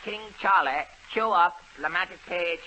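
A man's voice: high voice, normal speed, bright, forceful tone, a little hiss or hum, low-quality recording. A man playing a pompous comic detective who speaks in clipped broken English, in 1930s radio comedy style. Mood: smug. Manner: clipped broken-English speech with repeated catchphrases.

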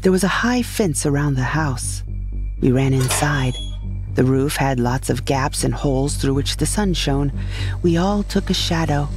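A woman's voice: extremely low pitch, creaky, a little mellow. A woman narrating a first-person comic science fiction audio drama. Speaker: narrator and lead character. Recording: music behind the voice.

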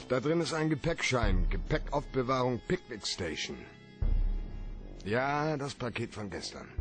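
An actor playing a tough, blunt, cool-headed private detective in a radio drama, speaking in terse commands. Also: male voice, fairly low pitch. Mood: low, matter-of-fact.

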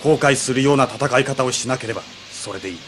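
A man's voice: medium pitch, fast, lightly acted. A man playing a stern, composed military officer in anime drama, firm and admonishing. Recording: music behind anime dialogue.

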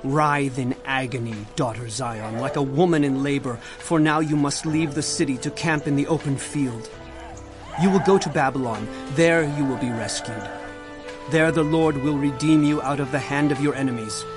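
A man's voice: mid-range voice, moderate pace, close to an everyday voice. An actor playing a stern prophet in a dramatized Bible reading, solemn and declamatory as he denounces corrupt rulers. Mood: urgent. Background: music.

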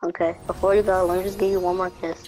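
A woman's voice: lowish voice, level tone, quick pace, music in the background, natural voice. A woman voicing a doting, protective mother of a young hero in a fan game roleplay. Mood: fussing, affectionate. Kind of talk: fan roleplay acting over video game.

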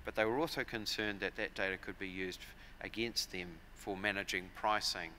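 A man in his forties with a New Zealand accent, giving a measured, explanatory conference talk at a lectern with frequent fillers and restarts. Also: on the low side, steady tone, bright, forceful tone, slight background noise. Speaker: conference speaker. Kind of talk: conference presentation. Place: large conference hall, at a lectern. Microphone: lectern microphones.

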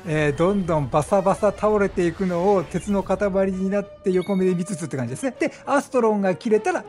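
A man giving chatty, animated gameplay commentary as a gaming streamer, explaining things as he plays and exclaiming at the action. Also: sits high. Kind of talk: excited game commentary and explanation.

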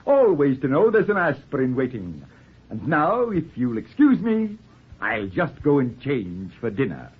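An actor playing a breezy, flippant middle-aged runaway husband in a 1940s radio play, with glib, dryly witty, theatrical delivery. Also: male voice, mid-range voice, wildly swooping pitch, a little slow. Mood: courtly. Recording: muffled, scratchy 1940s radio recording.

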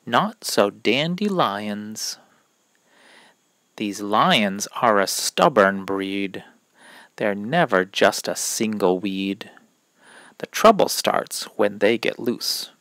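Slow, expressive man reading to children. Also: on the low side, dry recording. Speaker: read-aloud reader of a children's picture book. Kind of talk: children's story read-aloud of rhymes.

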